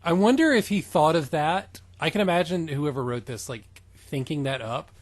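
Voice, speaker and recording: Husky, higher-pitched man riffing on a story. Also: lively intonation, moderate pace, no echo. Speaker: podcast co-host reading fan fiction aloud. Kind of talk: amused dramatic reading with casual riffing.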